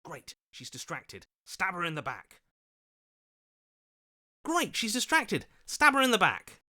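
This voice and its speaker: A man's voice: high voice, huge pitch swings, slow pace, pushed and bright, exaggerated voice. A man voicing a scheming, self-serving opportunist in a video game, with a sly, ingratiating, theatrical manner. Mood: urgent, scheming. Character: scheming, self-serving, weaselly opportunist voice inside the hero's head.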